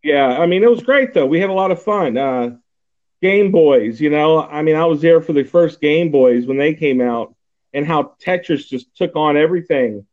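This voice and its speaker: Forties, Texan man, casual podcast host, rambling delivery, slightly high voice, phone-quality audio. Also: normal speed. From Texas. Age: 40s. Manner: rambling, many fillers and restarts. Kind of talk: casual chat. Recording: tinny phone-like line.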